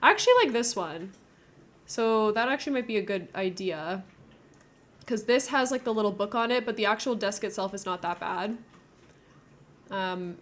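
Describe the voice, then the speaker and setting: A woman's voice: medium pitch, a little hiss or hum. A woman game streamer chatting casually and thinking aloud as she builds, with a giggly, informal manner.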